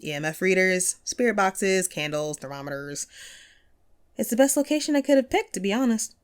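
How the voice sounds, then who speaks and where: A woman's voice: medium pitch, expressive, moderate pace, clear, resonant tone, lightly acted. A woman playing a friendly, wistful ghost who hosted a paranormal radio show, chatty and playful in an intimate audio roleplay. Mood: chatty, wry. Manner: playful, conversational, self-deprecating, breaks into chuckles. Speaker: radio host.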